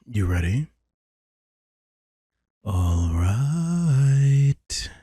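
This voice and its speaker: A man's voice: mid-range voice, big pitch swings, very slow, warm tone, breathy edge, dry recording, studio quality, exaggerated voice. A man playing a vampire prince in an intimate roleplay audio, a teasing, possessive but protective keeper who talks conversationally. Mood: teasing, soothing. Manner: conversational, teasing, swearing in concern.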